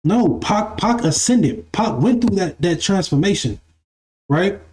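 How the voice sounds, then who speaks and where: A young man in his twenties talks through spiritual ideas in a loose, repetitive way, checking in with "right?" as he goes. His voice is mellow and sits a little high. The room is echoey, with faint music underneath. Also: animated, a little slow. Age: 20s. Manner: repeats phrases, asks "right?". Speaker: spiritual live-stream host. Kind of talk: casual spiritual monologue.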